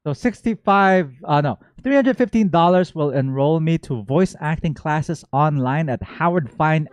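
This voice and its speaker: A man hosting a game stream, chatting casually and playfully, given to excited exclamations and rambling asides. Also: a little high, dull audio. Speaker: streamer and host. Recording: music playing behind the voice. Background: game music.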